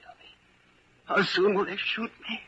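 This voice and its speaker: An actor in a 1940s radio drama playing a tormented prisoner, desperate yet stubborn, in a halting, strained voice. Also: male voice, high voice, fairly even intonation, bright, forceful tone, husky, exaggerated voice. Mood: exhausted, halting. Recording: dull, noisy old 1940s radio recording.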